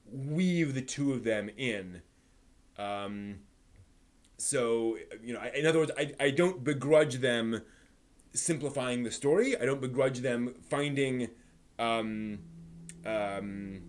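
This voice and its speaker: A man with a medium-pitched voice hosts a live stream in an unscripted, conversational style. He lays out opinions in a discursive way, halting and repeating words while he works out each thought aloud. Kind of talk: unscripted opinionated chat about books and films.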